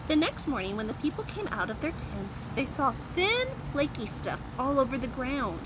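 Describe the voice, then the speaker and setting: Woman, children's story time host, high and very lively, simple questions and asides, noisy ambient recording. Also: normal speed. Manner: expressive, simple explanations aimed at small children.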